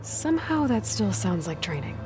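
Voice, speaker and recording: A woman's voice: mid-range voice, sing-song, normal speed, lightly acted. A woman playing a determined, guarded young hunter in game dialogue, matter-of-fact and understated, with music behind. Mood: thoughtful, gentle. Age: young adult. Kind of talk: video game cutscene dialogue.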